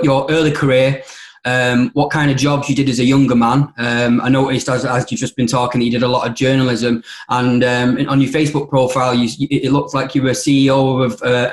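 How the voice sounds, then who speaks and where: A man's voice: medium pitch, roomy sound. A man hosting a podcast, politely asking questions with frequent ums and false starts. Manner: hesitant, lots of ums and restarts, polite. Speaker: podcast host. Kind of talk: conversational interview.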